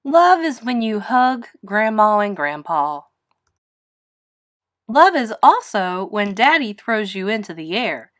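Storybook mom pig, voiced by a woman: loving, gently teasing, animated and slow. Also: medium pitch. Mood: nurturing. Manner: expressive, nurturing.

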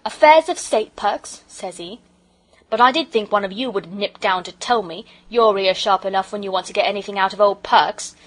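A woman's voice: medium pitch, moderate pace, lightly acted, low-quality recording. A woman voicing a proud, sulky working-class English railway porter in a storybook, with colloquial speech and dropped aitches. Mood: indignant.

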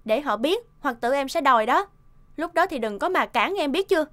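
High-voiced fussing cartoon mother. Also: brisk. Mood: annoyed. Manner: expressive, scolding or fussing. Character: sharp-tongued but caring young mother minding a repair shop. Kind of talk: animated cartoon dubbing. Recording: music behind the voice.

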